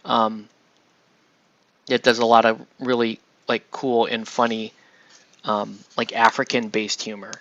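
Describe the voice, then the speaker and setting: A man chatting casually on a livestream while he paints miniatures, rambling and excitable, often breaking off mid-sentence. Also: on the low side, a little slow, pauses often, lo-fi sound. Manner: rambling, excitable, self-interrupting with laughs.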